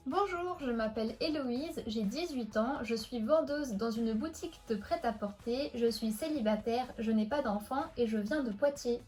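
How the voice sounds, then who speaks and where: A woman voicing a young female shop saleswoman in a toy-figure parody, speaking softly and subdued. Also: a little high, moderate pace, few pauses, soft background music, lightly acted. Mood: shy. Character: 18-year-old shop saleswoman, shy.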